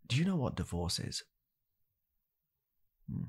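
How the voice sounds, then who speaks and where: A young man playing a blunt, callous father in an ASMR comedy roleplay, speaking softly and haltingly in a deadpan way. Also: lowish voice, vocal fry, husky, voice pushed up, full character voice. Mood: awkward, grave. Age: young adult. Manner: soft-spoken, halting, deadpan. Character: blunt, callous father calmly blaming his child. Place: small home room, indoors.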